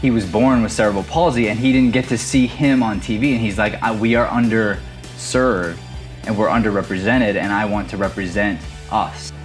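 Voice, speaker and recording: A man's voice: fairly low pitch, fairly even intonation, moderate pace, faint music behind. A man hosting a podcast and telling motivational stories in an earnest, emphatic, conversational way. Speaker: podcast host and acting teacher. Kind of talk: casual podcast talk and motivational storytelling.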